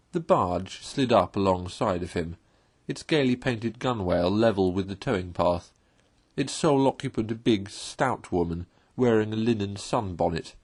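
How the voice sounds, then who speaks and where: A man reading a classic children's story aloud as an expressive, literary narrator. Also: fairly low pitch.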